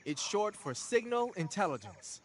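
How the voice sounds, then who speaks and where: Talkative tech and weapons specialist for a video game; higher male register, streetwise, informal explainer. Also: sing-song, moderate pace, slight background noise. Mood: matter-of-fact, explaining.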